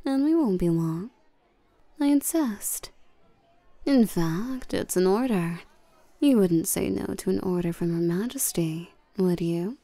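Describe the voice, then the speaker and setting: A woman playing a regal, seductive and domineering vampire queen in a scripted roleplay audio drama, coaxing and commanding the listener with soft menace. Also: medium pitch, animated, slow, dry recording. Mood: gracious, flirty, then playfully insistent.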